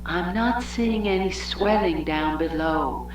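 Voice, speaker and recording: A woman playing a cool, menacing, seductive experimenter in an audio play, measured and commanding, in a very echoey, distant recording. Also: lowish voice, slight background noise. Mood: coaxing.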